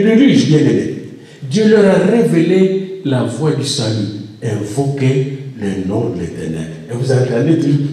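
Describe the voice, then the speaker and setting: A man's voice: medium pitch, mellow. A man preaching a sermon, speaking emphatically and exhorting his congregation directly. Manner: emphatic delivery with repeated exhortations and addresses to the congregation. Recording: echoey room, distant microphone.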